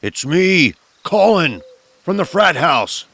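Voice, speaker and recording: A man's voice: on the high side, very animated, slow, bright, forceful tone, dry recording, voice pushed up, heavily acted. A man playing a frightened, wounded young fraternity man in a horror audio drama, pleading in a strained way. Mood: scared, pleading. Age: young adult.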